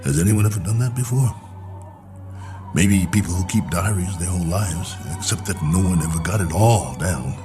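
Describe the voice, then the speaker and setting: Music plays clearly behind a low male voice as a stranded space colonel. He is lonely and reflective, musing with wry, world-weary resignation in the manner of a radio drama monologue. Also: lively intonation, moderate pace. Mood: wistful, musing.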